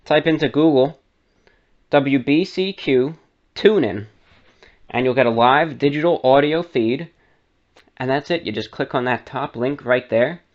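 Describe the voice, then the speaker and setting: A man's voice: mid-range voice, unhurried, long pauses, muffled recording. A man giving a conversational, step-by-step how-to narration as the host of a radio show. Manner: conversational, step-by-step instructions with asides. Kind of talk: explanatory how-to tutorial narration.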